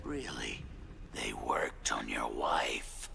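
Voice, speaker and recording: An actor playing a gleefully menacing masked slasher killer in a video game, gravelly and teasing, drawing out his words. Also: a bass voice, wildly swooping pitch, pushed and bright, a little hiss or hum, exaggerated voice. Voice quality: gravelly, breathy at times. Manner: sinister, teasing, drawn-out delivery. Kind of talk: video game villain acting.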